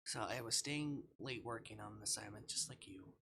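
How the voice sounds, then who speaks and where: A shy, self-deprecating young ghost played by a man from a visual novel game. The voice is hushed and hesitant, halting between phrases, with a bright edge and faint noise behind it. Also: lowish voice, gravelly. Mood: subdued, ashamed.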